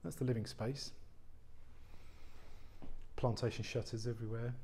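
A man, an estate agent giving an unscripted walk-through tour of a warehouse flat, chatty and full of fillers and restarts. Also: medium pitch, a little slow, slight background noise. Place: large warehouse flat, indoors. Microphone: handheld phone camera, speaker off screen.

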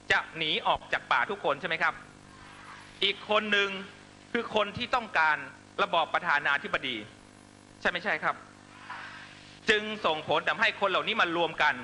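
A man's voice: high voice, bright, forceful tone, slight background noise, low-quality recording. A man delivering a fiery political speech to supporters, accusatory and emphatic, constantly pressing listeners with rhetorical questions. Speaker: political rally speaker.

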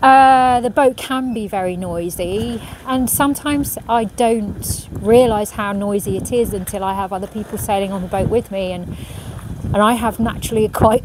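A middle-aged woman, a professional sailor, answering viewer questions in a quiet, thoughtful way with many ums, filmed outdoors on a marina pontoon. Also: mid-range voice. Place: outdoors on a marina pontoon beside a racing yacht. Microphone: camera at medium distance, phone in hand. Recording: outdoor recording with clear background noise. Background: marina ambience and passing vehicles.

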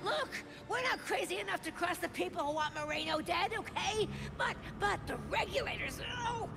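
Brash gang henchwoman for a game: very high, creaky, defiant, over a music bed. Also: expressive, moderate pace, heavily acted. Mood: nervous, defensive. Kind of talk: video game voice acting.

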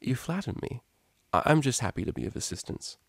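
A man's voice: medium pitch, very animated, a little fast, vocal fry, breathy edge, dry recording, studio quality, voice pushed up, heavily acted. A man voicing a polite, composed young female pony cellist with a formal, courteous manner.

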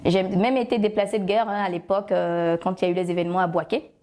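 Ivorian woman with a low voice. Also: fairly even intonation, quick pace, darkish tone. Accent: Ivorian French. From Côte d'Ivoire. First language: Agni. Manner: chatty, many fillers and self-corrections. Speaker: guest, love shop founder. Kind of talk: relaxed interview answers. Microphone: lapel.

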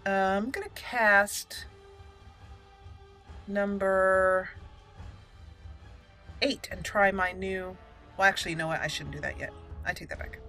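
A woman's voice: medium pitch, slow pace, pauses often, pushed and bright, soft background music. A woman doing casual, chatty let's play commentary with some roleplay, playful in manner. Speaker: gaming video host.

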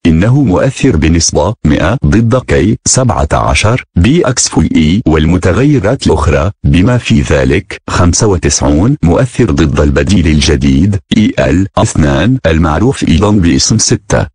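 A man's voice: low voice, lively intonation, very fast, hardly any pauses, a little mellow, breathy edge, dry recording, clean studio sound. A man reading a scripted emergency announcement as a broadcast announcer, formal and synthetic-sounding.

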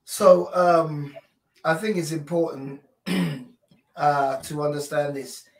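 A man in his forties, a pastor, answering questions in a measured, hesitant way over a video call from home. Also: a little high, fairly even intonation. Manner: measured, hesitant, many fillers. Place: home room, indoors. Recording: echoey room, far from mic.

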